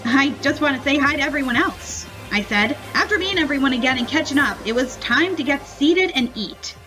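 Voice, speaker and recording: A woman's voice: high-pitched, lively intonation, a little fast, rough recording. A woman reading her own story aloud as an amateur narrator. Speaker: story writer and reader. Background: music.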